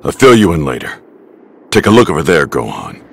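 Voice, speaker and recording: Gruff, gravelly alien warrior mentor. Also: male voice, a bass voice, wildly swooping pitch, fairly quick, slight background noise, full character voice. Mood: gruff, urgent. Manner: terse, gruff. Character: gruff, battle-seasoned alien warrior mentor, stern and calm. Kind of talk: anime game cutscene acting. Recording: loud music behind the voice.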